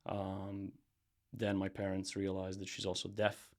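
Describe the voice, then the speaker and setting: A young man from Serbia, a podcast guest and brand founder, chatting casually in a relaxed, rambling way with lots of fillers and restarts. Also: deep voice, a little slow. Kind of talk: casual interview chat.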